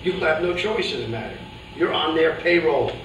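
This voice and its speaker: A distant, very echoey recording with clear background noise carries a blunt, world-weary boxing manager played by a man in a staged reading. He cautions his fighter in a matter-of-fact way. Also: a little high, expressive, moderate pace, lightly acted. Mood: blunt, resigned. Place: live staged reading in a roomy indoor space. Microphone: distant video camera. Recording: echoey, noisy room, distant camera microphone.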